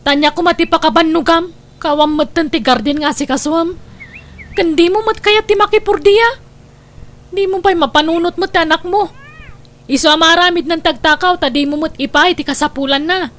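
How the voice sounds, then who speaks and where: Fed-up filipino mom in a radio drama, really high voice, complaining and bitter. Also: female voice, moderate pace, a bit bright. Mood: exasperated. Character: exasperated, struggling Ilocano wife and mother, resentful. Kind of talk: Ilocano radio drama acting.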